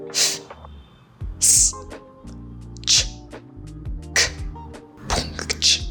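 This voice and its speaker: Slow-talking woman teaching pronunciation. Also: mid-range voice. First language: Vietnamese. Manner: slow, careful demonstration of sounds. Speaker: language teacher. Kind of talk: pronunciation lesson to camera.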